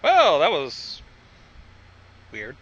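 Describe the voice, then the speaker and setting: An excitable commentator of unclear sex, reacting to a story with dramatic, drawn-out exclamations and jokes. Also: medium pitch, breathy edge, highs cut off.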